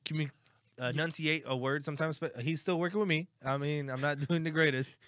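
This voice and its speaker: A man's voice: a little high, steady tone, brisk, fairly bright tone, no room sound, studio quality. A man co-hosting a casual beer podcast, joking at his own expense and laughing often. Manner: laughing, self-deprecating. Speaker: podcast co-host. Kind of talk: casual chat over beers.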